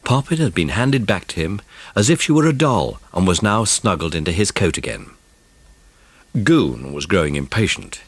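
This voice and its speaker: A man's voice: low-pitched, very animated, moderate pace, slightly rough, no room sound, heavily acted. A man narrating a dramatised children's storybook. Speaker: audiobook narrator.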